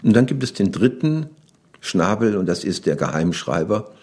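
A man speaks as an interviewed commentator, analysing as he goes. His voice is very low and rather husky. The phrasing is spontaneous and conversational. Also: sing-song, normal speed, darkish tone.

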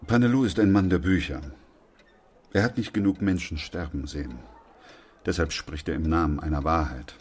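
A man playing a sober, weary, steadfast doctor in a German radio play, speaking in a grave, measured, reflective way. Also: low-pitched, darkish tone, slight background noise, natural voice. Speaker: actor playing the narrator and lead.

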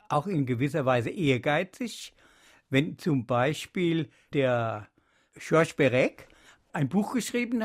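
An older man reminiscing in an interview, with halting, emphatic phrasing. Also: fairly high pitch. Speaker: interviewee, former radio drama editor.

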